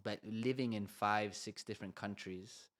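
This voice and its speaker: A man's voice: fairly low pitch, fairly even intonation, moderate pace, fairly bright tone. A young Argentine man co-hosting a podcast, telling his story in a loose, rambling way full of "you know" fillers. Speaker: podcast co-host. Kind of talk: casual conversational storytelling.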